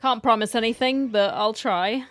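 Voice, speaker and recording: A woman's voice: on the high side, moderate pace, dry recording, soft background music. Woman streamer, close dry sound. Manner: chatty, irreverent. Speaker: game streamer. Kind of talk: casual playthrough commentary.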